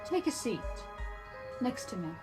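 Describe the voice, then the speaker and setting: An actress playing an eerily calm, taunting young woman in an audio drama, with a measured, needling manner. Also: a little high. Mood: cool, puzzled. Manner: measured, cryptic, needling questions. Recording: music behind.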